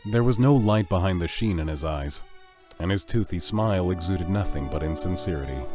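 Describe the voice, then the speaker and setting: A man's voice: low voice, lively intonation, brisk. A man narrating a scripted audio drama as its storyteller. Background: music.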